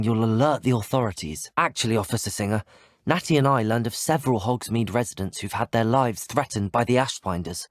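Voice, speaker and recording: A man voicing a polite, earnest British student wizard in a video game, speaking in an articulate, measured way. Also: medium pitch, no room sound, clean studio sound, lightly acted. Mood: serious, inquiring. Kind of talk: video game character dialogue.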